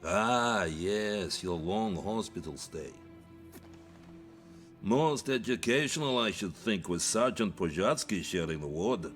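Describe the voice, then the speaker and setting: An actor playing a cold, calculating Soviet superior who speaks in a dry, sardonic way. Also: male voice, lowish voice, animated, takes it slowly, fairly bright tone, faint music behind. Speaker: Soviet commanding officer.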